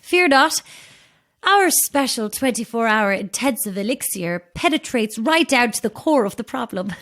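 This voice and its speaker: A woman's voice: fairly high pitch, lively intonation, normal speed, fairly bright tone. A woman playing a nervous, polite make-up saleswoman in an audio drama, with flustered manners and flowery patter. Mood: grand sales patter. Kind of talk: audio horror drama acting.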